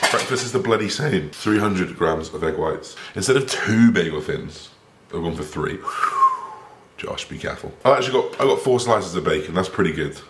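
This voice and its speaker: Raspy low-voiced man with half West Country accent. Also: a little hiss or hum. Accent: half West Country English. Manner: chatty and upbeat, swears casually. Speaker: fitness coach and bodybuilder. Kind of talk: casual vlog talk to camera. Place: home, then gym. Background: music.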